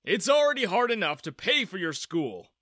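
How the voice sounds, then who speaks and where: A man plays a stern, worried father in a narrated story. He scolds in a high, forceful voice with lively pitch swings. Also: moderate pace, no echo, clean studio sound, heavily acted. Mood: stern, exasperated.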